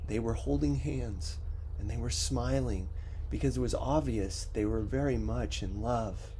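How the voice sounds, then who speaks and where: A man narrating a first-person story as an audiobook reader, with a measured, expressive dramatic delivery. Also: medium pitch, a little hiss or hum.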